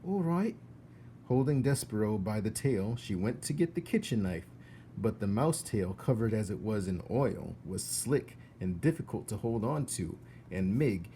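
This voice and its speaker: A man reading a children's storybook aloud in an expressive narrating style. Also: on the low side, moderate pace, slight background noise.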